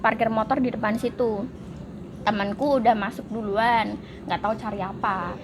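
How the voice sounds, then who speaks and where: A relaxed woman talks in a casual chat, matter-of-fact and unhurried. Her pitch is a touch high. Clear background noise sits behind her. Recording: noisy background.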